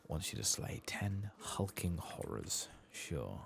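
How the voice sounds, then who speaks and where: A man giving soft-spoken ASMR commentary over a video game, with understated asides to himself, close to the microphone. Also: sits low, very animated, slow pace, creaky, background noise.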